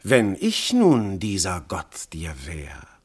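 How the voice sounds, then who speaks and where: An actor playing a god disguised as a mortal husband, ardent and commanding, in lofty classical verse for radio drama. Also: male voice, sits low, wildly swooping pitch, takes it slowly, a little mellow, husky, exaggerated voice. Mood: probing, insistent. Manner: impassioned, lofty, theatrical declamation.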